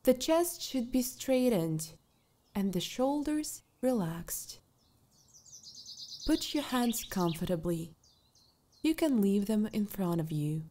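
A woman leads a guided meditation at a very unhurried pace. Her tone is mellow and relaxed, with a medium pitch. She gives calm, soothing instructions with long pauses between them, over birdsong.

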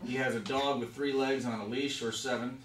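A man with a mid-pitched voice narrates stage directions at a script read-through. He is some distance from the mic in an echoey conference room. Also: fairly even intonation, fairly quick, slight background noise. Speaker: screenwriter reading stage directions. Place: conference room around a long table. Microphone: camera mic across the room.

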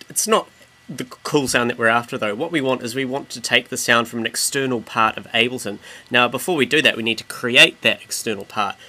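A man from New Zealand giving a casual software tutorial as a music production tutor, informally explaining and rephrasing himself as he goes. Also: medium pitch, a little hiss or hum.

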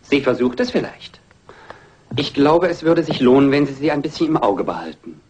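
A man's voice: medium pitch, big pitch swings, moderate pace, darkish tone, slight background noise, lightly acted. An actor playing a prickly, suspicious, scheming man in a crime radio play, curt and insinuating. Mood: irritated, suspicious. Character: prickly, suspicious, scheming male art dealer.

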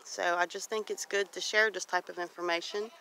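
A woman's voice: lowish voice, fairly even intonation, fairly quick, bright, forceful tone, clean tone. A middle-aged woman talking casually in a plain-spoken, folksy way, repeating phrases for emphasis as she walks and talks. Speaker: homestead vlogger. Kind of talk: casual walk-and-talk monologue. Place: outdoors on a grassy homestead field. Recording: noisy outdoor recording with geese honking.

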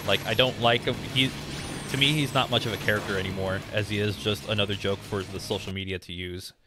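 A man's voice: on the low side, fairly even intonation, quick pace, noisy background. A young man chatting casually as he streams a game, rambling and giving his opinions. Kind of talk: casual live game commentary and chat. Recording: game music behind the voice. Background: video game music and sounds.

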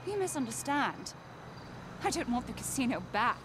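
A poised, determined heiress. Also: female voice, fairly high pitch, very animated, moderate pace, background noise. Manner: composed, precise, formal. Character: poised young female heiress, cool and determined. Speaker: casino heiress.

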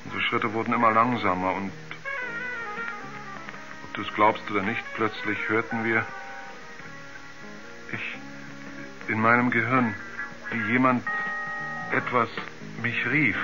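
An actor playing a haunted, frightened astronaut, halting and dazed as he searches for words in a seventies radio play. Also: on the low side, level tone, lightly acted. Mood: haunted, fearful. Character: haunted, frightened male astronaut recounting a moon ordeal. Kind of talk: 1970s German radio drama acting. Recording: dull vintage radio recording, music underneath.

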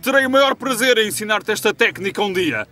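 Bright, quick, high-voiced Greek warrior. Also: male voice, lively intonation. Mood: confiding. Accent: European Portuguese. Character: jovial, knowing bearded Greek warrior, a well-travelled friend. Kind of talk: dubbed animated film acting. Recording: film soundtrack with music underneath.

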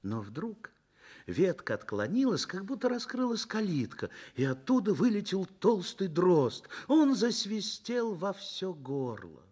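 A man narrating a Soviet radio drama as an earnest literary storyteller, expressive and measured, swelling and dropping to a hush. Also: sits high, breathy edge, exaggerated voice. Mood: earnest, reflective.

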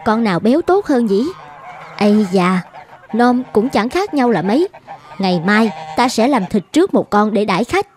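A woman playing a practical, brisk farmer's wife in an animated fairy tale, musing in a matter-of-fact way. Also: on the high side. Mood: businesslike. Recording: background music under the voice.

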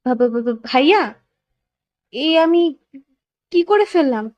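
A woman's voice: high voice, animated, slow, lo-fi sound. A woman playing an anxious, guilt-ridden young bride, shy and hesitant, in a dramatized audio love story. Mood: shocked, frightened. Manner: hesitant, stammering when distressed.

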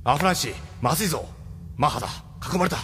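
Brisk, blunt crew leader. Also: male voice, fairly high pitch, animated, fairly bright tone, slight background noise. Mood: alarmed. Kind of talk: Japanese audio drama acting.

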